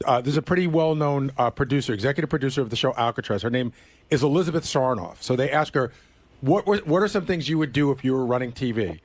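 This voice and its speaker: A man's voice: medium pitch, quick pace, no room sound. A man on a radio show casually relaying an item to the others. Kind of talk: casual reporting of an item on air.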